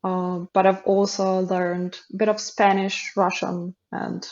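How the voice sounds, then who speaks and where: A woman's voice: mid-range voice, slow. Laughing Macedonian woman on a dull line. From North Macedonia. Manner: laughs often, relaxed and friendly. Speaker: podcast guest. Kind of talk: casual interview chat.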